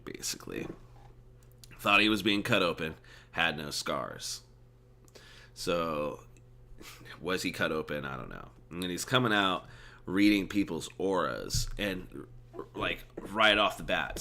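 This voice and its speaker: A man's voice: deep voice, sharp tone, slight background noise. A man who is mildly drunk, reading a book aloud informally and stumbling over words, with casual commentary.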